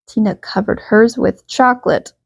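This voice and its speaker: A woman reading a children's bedtime story aloud, expressively and with emphatic, drawn-out phrases. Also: on the high side, fairly even intonation, gravelly, breathy edge.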